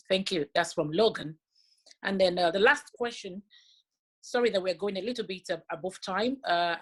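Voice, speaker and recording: A woman moderates an online session over a video call, politely reading out audience comments and questions with small hesitations. Her voice sits fairly low. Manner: polite, reads questions out haltingly. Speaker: facilitator, moderator.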